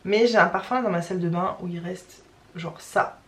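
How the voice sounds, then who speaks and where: A woman's voice: on the low side, moderate pace, a bit bright, husky. A young woman vlogging in casual chat to camera, chatty and rambling, with many fillers and restarts. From France.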